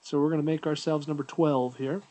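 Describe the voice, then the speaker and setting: A man's voice: a little high, fairly even intonation, fairly quick, very noisy. A man giving a casual, unscripted app walkthrough, explaining things in an informal, easygoing way as a reviewer.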